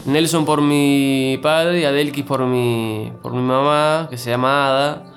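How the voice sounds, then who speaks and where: A man's voice: mid-range voice, fairly even intonation, measured pace, faint music behind. A middle-aged man from Cuba with a mixed Cuban and Argentine accent, talking as an interview guest with many fillers and restarts. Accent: Cuban with Argentine mix. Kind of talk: conversational interview.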